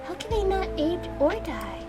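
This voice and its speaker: A woman's voice: very high voice, big pitch swings, normal speed, over loud music, pitched above the performer's own voice. A woman voicing a polite, curious young game heroine who asks puzzled questions.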